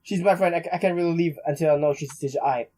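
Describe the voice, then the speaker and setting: A man's voice: on the high side, fast, mellow, a little hiss or hum. A young man hosting a let's play, reading story text aloud with casual asides and often stumbling over words.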